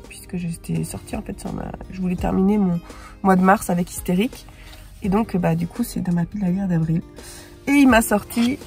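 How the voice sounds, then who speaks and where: A middle-aged woman chatting casually about her reading from her parked car, congested with a sore throat and talking haltingly with frequent hesitations. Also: mid-range voice, a little mellow. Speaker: book vlogger. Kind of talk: casual reading-journal chat.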